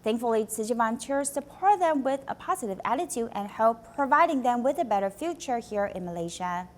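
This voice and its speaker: A woman presenting the news as anchor, reading a script in a bright, even studio delivery. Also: fairly high pitch, a little fast, slight background noise.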